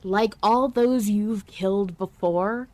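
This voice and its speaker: A woman hosting a casual fan discussion podcast, speaking in an emphatic, passionate and halting way. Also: medium pitch, a little mellow. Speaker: podcast host.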